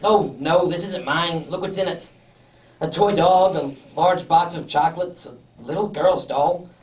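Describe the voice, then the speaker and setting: A woman's voice: fairly low pitch, unhurried, a little mellow, natural voice. Woman as anxious detective's companion, echoey. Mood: anxious, puzzled. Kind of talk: radio drama acting. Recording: echoey room, distant microphone.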